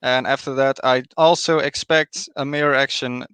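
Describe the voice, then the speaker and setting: A man with a Dutch accent, a guest trader calmly explaining market charts on a live stream. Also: mid-range voice, clear, resonant tone, no room sound. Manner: measured, explanatory, confident.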